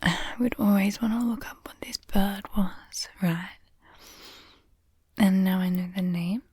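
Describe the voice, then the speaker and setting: A woman playing an affectionate, teasing girlfriend in an intimate bedtime roleplay, whispering softly and unhurriedly up close to the microphone. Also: mid-range voice, unhurried, dry recording. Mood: cozy, chatty. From Australia. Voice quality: soft, breathy whisper. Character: affectionate, teasing, nerdy young Australian girlfriend in bed. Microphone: close microphone, dry.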